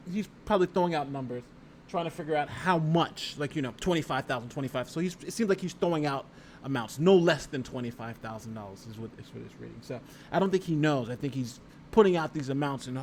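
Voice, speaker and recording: Male livestream host, lawyer type: slightly high voice, conversational and emphatic legal commentary, reads aloud and reacts, some background noise. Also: fairly quick. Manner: conversational, emphatic, repeats phrases and talks directly to viewers. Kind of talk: casual live legal commentary, reading a document aloud and reacting.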